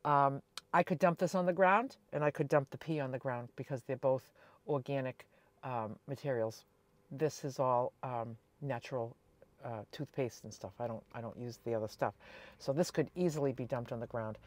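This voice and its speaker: A woman in her sixties chatting casually to camera as a vlogger, telling everyday stories in a chatty, animated way. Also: rumbling low, moderate pace, no echo. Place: inside a small camper van.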